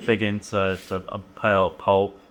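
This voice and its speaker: Low-voiced young man from Cardiff, loose chat. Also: level tone, normal speed. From Cardiff, Wales. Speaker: band bassist. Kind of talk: casual interview chat. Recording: people chattering in the room.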